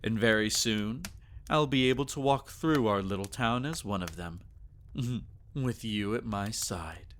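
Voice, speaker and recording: A man voicing a devoted, gentle vampire lover in an intimate ASMR audio drama, speaking tenderly in formal, old-fashioned phrases. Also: mid-range voice. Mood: solemn, earnest.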